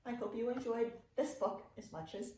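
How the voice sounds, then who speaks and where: A middle-aged woman, a teacher reading a children's picture book aloud with expressive, clearly phrased delivery for young listeners. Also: on the high side, normal speed. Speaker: early learning teacher reading to students. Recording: roomy, distant mic with faint noise.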